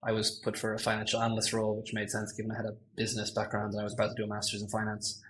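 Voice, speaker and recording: Irish guy, thirties, podcast guest; low steady voice, chatty, lots of ums and restarts. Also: racing, a little hiss or hum. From Ireland. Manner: rambling and self-correcting, many ums, laughs easily. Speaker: guest, machine learning engineer. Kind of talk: casual podcast chat.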